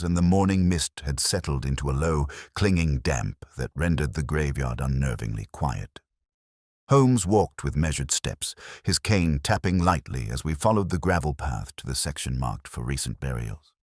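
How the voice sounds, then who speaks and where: A man with an extremely deep voice narrates a mystery tale in a measured, literary way. His pitch moves in lively swings, and the sound is dry and close. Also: no echo. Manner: measured, formal, literary delivery. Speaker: audiobook narrator. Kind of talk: scripted mystery story narration.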